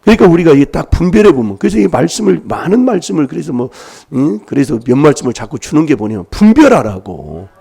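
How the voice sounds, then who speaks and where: Husky, gravelly preacher with a cold. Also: male voice, on the high side, very animated, quick pace, hardly any pauses, warm tone. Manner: emphatic, prodding the congregation with rhetorical questions. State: has a cold. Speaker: pastor. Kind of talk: sermon. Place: church.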